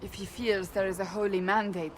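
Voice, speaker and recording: An actress playing a firm warrior adviser in a video game, speaking gravely and formally. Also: female voice, medium pitch, steady tone, brisk, a little hiss or hum, natural voice. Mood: serious. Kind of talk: video game dialogue acting.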